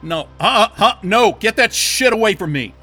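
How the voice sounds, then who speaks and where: An actor playing an angry, sarcastic software developer held captive in an audio drama, agitated and defiant. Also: male voice, sits high, animated, normal speed, faint music behind, exaggerated voice. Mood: panicked.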